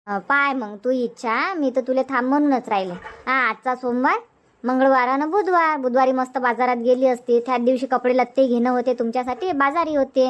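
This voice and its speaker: A high-pitched, fussy village mother acted by a woman for a Marathi comedy cartoon. She has a Vidarbha accent and nags in a chatty, repetitive way, pressing her point insistently. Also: fairly quick, close to an everyday voice. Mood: persuading. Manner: chatty, nagging, repeats herself. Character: fussy, insistent middle-aged village mother urging daughter to stay. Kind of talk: homemade comedy cartoon dubbing.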